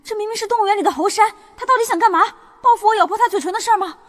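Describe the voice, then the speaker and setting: Woman voicing young female office worker, high voice, excitable, feisty, easily flustered, animated comedy drama. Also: rapid-fire, slight background noise. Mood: exasperated. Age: young adult. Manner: excitable, glib, indignant. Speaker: office planner.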